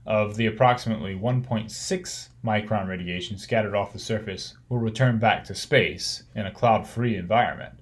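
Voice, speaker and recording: Man reading a technical lecture. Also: mid-range voice. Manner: measured, explanatory, technical. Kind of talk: read course lecture.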